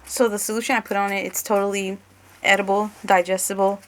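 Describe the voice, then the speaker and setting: A woman with a creaky voice doing an ASMR roleplay in her normal speaking voice, casual and hesitant as she talks through each step. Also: medium pitch, flat delivery, fairly quick, a little hiss or hum. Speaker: ASMR creator playing an examiner.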